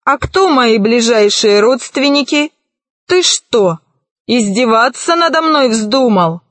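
A woman's voice: on the high side, animated, slow, a bit bright, dry recording. A woman voicing a bossy, scornful male pirate rat villain in a children's storybook. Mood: irritated. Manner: commanding, scornful.

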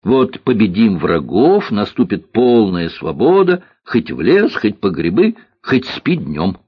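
A man's voice: fairly low pitch, big pitch swings, slow pace, slightly rough, dry recording, highs cut off, voice pushed up, full character voice. A man voicing a plump, kindly but dogmatic army cook woman in a children's audiobook, earnest and given to sighs and slogans. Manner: sighing, earnest, parroting slogans. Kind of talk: audiobook character voice, children's science fiction.

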